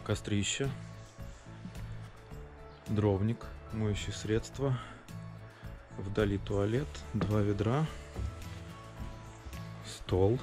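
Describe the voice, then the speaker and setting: A man's voice: fairly low pitch, extremely slow, long pauses, music in the background. A man in his thirties narrating a travel vlog on location, speaking into a handheld camera. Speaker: travel vlogger.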